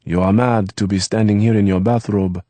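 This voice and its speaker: A man voicing a gentle, wistful young man who grew up on the streets, speaking in soft, formal phrases. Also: on the low side, fairly even intonation, moderate pace, no room sound, pitched above the performer's own voice, close to an everyday voice. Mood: fussing.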